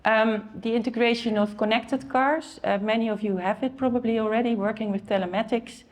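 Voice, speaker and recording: A woman's voice: fairly high pitch, steady tone, a little fast. A middle-aged woman from the Netherlands with a Dutch accent, giving a conference talk in a conversational way, with many fillers and restarts. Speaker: conference speaker.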